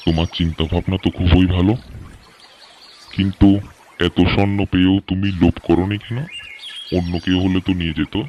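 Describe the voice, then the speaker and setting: A man's voice: low voice, flat delivery, a little fast, pauses often, darkish tone, lo-fi sound, a little hiss or hum, close to an everyday voice. A man playing a generous, approving village landlord with a stately manner in a cartoon story. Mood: curious, approving.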